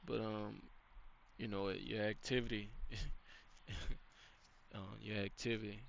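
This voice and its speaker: A man's voice: fairly low pitch, steady tone, takes it slowly, creaky, slight background noise. Rambling man on a dull lo-fi recording. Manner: rambling, full of "you know" and "man", with some swearing. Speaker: channel host giving a faith-based teaching. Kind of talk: casual spoken lesson on herbs and faith.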